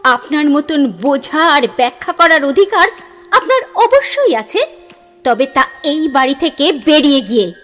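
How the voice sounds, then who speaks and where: A haughty young devotee in an audio drama. Her voice is high, curt and emphatic, full of protective indignation. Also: sing-song, unhurried, a bit bright, faint music behind, heavily acted. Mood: indignant. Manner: curt, haughty, emphatic, mixing in English phrases.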